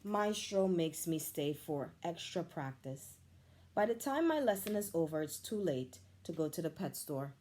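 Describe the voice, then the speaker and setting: A woman, a teacher, reading a children's picture book aloud with expressive, animated storytelling. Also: deep voice, unhurried, slight background noise.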